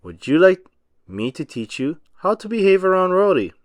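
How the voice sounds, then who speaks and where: A man voices a snobbish cartoon squid in a storybook, gloomy and sniffing, quick to scold. The delivery swings between flat mutters and sharp outbursts in a dry, close sound. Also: high-pitched, no echo, voice pushed up. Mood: grumpy. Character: grumpy snobbish adult male squid.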